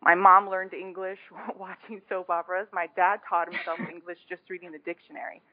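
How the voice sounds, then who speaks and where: A woman's voice: lowish voice, flat delivery, a little fast, no room sound. Woman storytelling over a tinny phone-like line. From born in Romania, moved to the United States aged seven. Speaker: podcast guest, small business co-founder. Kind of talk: casual personal storytelling in an interview.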